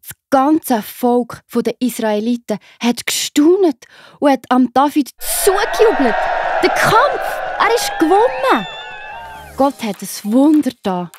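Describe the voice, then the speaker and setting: A woman's voice: sits high, big pitch swings, slow, heavy background noise. A woman with a Swiss German accent narrating a scripted children's story expressively.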